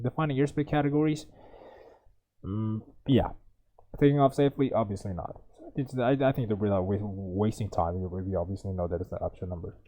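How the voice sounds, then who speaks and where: Young guy going over a reading test out loud, stumbles and corrects himself a lot, mellow low-key voice. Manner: halting, many restarts and self-corrections while reading. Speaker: IELTS tutor. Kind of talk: reading aloud and explaining a test passage.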